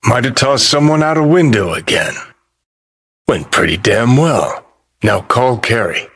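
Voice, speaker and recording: An American man playing a cynical, foul-mouthed, world-weary rebel rock star in a video game, with a gravelly, husky voice and a clipped, sardonic, swaggering drawl. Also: mid-range voice, animated, a little slow, fairly bright tone, exaggerated voice. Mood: sardonic, mocking. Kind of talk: video game character dialogue.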